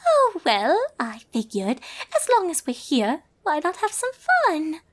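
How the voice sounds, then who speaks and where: A woman voicing a refined, vain and excitable female cartoon pony with an affected, ladylike, theatrical manner. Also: sits high, slow pace, voice pushed up, full character voice. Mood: breezy.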